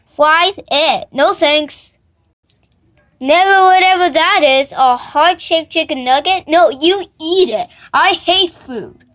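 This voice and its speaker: Woman voicing a very high, dismissive, picky critter. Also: slow pace, fairly bright tone. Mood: disgusted. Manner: dismissive, grumbling. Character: picky cartoon critter who hates all food.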